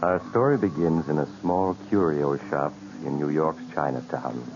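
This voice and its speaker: A man's voice: very deep voice, normal speed. An actor playing a sly, ominous male narrator in a theatrical 1940s radio mystery. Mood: grave storytelling. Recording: dull 1940s radio recording with loud music.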